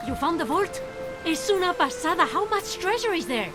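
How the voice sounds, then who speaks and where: A bold young heroine of a swashbuckling game. The high voice moves animatedly, sassy and full of spirit, with clear music behind. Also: female voice, sing-song, normal speed. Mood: playful, warm. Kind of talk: animated video game acting.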